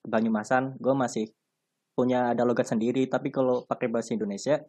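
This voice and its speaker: A young man from Central Java, talking casually and haltingly as a guest podcaster. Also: medium pitch, fairly even intonation, warm tone. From Purbalingga, Central Java. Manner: halting, hesitant, fond of "ya Allah". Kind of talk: casual chat.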